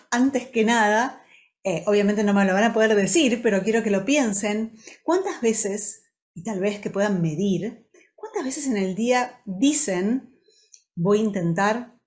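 A woman's voice: medium pitch, unhurried, darkish tone. A woman hosting a podcast, explaining things conversationally and repeating words for emphasis. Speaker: podcast host.

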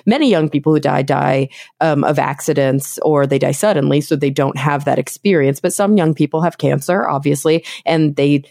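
Low gravelly woman hosting a chatty podcast, talks in long thoughtful runs, dry close sound. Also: moderate pace, dark tone, dry recording. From Texas, USA. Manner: thoughtful, talkative, builds long points. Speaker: podcast host. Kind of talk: casual interview chat.

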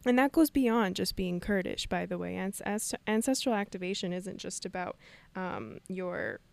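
A woman's voice: medium pitch, expressive, normal speed, gravelly, no echo. Emotional woman telling her story. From Canada. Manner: emotional, laughs often, meandering. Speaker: podcast host. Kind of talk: solo podcast storytelling.

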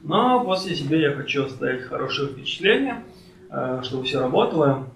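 A man in his late twenties in casual podcast conversation, speaking in a halting, hesitant way with many pauses. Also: a little high, very animated, gravelly, recorded from a distance. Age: late 20s, turning thirty. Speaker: podcast participant. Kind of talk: casual conversation about personal life. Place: friend's home, indoors. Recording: echoey room, off-mic, faint noise.

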